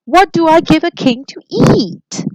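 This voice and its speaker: A woman acts out a flustered storybook man who frets and screeches. The voice jumps high and swoops around in anxious, drawn-out exclamations. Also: very animated, darkish tone, dry recording, exaggerated voice, rough recording. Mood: panicked, fretful. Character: excitable, easily panicked man fretting as a storybook host.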